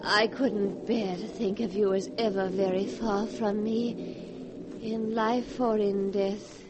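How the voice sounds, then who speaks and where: An actress playing a possessive dying wife with a menacing streak, speaking slowly and dramatically in a 1940s radio horror play. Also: female voice, mid-range voice, level tone, natural voice. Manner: slow, dramatic, insistent. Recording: noisy 1940s radio broadcast.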